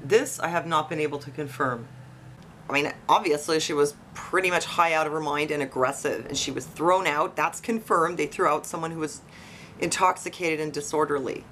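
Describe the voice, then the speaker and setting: A woman with a fairly low voice hosts an informal investigative commentary for online viewers. She speaks conversationally, posing questions and speculating as she goes. A faint buzzing noise sits behind her voice. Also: normal speed, fairly bright tone. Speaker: online video host. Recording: noisy, buzzing tree-cutting outside. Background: buzzing from tree cutting outdoors.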